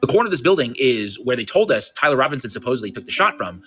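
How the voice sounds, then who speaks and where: Bright, lively man narrating over music. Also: mid-range voice, racing. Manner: pointed, rhetorical questioning. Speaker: video commentator. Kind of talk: scripted explainer narration. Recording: music bed under the voice.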